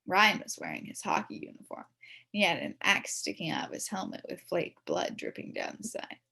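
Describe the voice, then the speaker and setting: A woman reading a children's storybook aloud in an expressive, playful way. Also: on the low side, moderate pace, vocal fry. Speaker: story reader.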